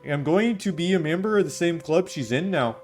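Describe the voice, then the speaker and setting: A man putting on an exaggerated Canadian voice to read lines aloud in a slow, sing-song way. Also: on the high side, animated, a little mellow, faint music behind, voice pushed up, close to an everyday voice. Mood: mocking. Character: exaggerated high-pitched Canadian narrator.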